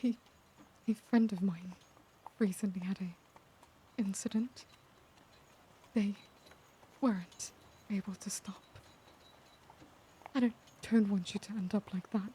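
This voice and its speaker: Woman playing a soft, mellow, caring vampire lady. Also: medium pitch, steady tone, takes it slowly, long pauses, slight background noise, natural voice. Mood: sorrowful, pleading. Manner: formal old-fashioned wording, hesitant, breathy half-whispers. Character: caring, anguished young vampire lady, formal and tender. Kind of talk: ASMR roleplay, intimate dramatic monologue.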